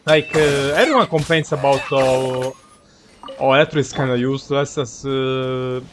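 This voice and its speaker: A man livestreaming a game with casual, rambling, halting and self-mocking commentary. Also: medium pitch, noisy background. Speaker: game streamer.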